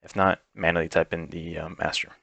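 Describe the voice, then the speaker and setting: Male tutorial narrator, deep voice, informal and explanatory, talking through software setup step by step in a dry, close recording. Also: level tone, fairly quick, vocal fry, no echo. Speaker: tech tutorial instructor. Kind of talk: step-by-step software walkthrough, unscripted.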